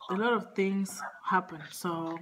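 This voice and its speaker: A woman prank-calling a relative, talking in a calm, matter-of-fact way with hesitant restarts. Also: medium pitch, a little slow, noisy background. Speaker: prank caller. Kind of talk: casual phone chat.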